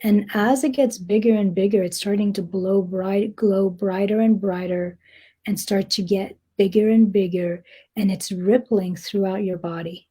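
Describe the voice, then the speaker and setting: A woman guiding a meditation in a soft, breathy, gentle voice, speaking deliberately with long pauses. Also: mid-range voice, fairly even intonation. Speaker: meditation guide. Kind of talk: guided meditation, slow soothing narration.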